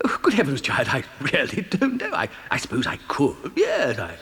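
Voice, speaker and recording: A man playing an eccentric, excitable chocolate factory owner and inventor in a children's audio drama, theatrical, with stammering, hesitant repetitions. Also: mid-range voice, moderate pace. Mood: flustered. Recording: long hall-like reverb.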